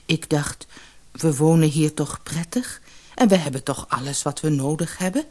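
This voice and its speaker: A woman voicing a humble, contented old fisherman in a storybook, soft-spoken, mild and resigned. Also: low voice, normal speed. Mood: meek. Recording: noisy old cassette audiobook.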